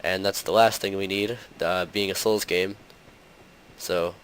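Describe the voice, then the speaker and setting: A young man hosting a gaming channel, talking through gameplay in a casual, rambling way full of fillers, his voice slightly hoarse from a cold. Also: sits low, fairly even intonation, measured pace, a bit bright, a little hiss or hum, rough recording.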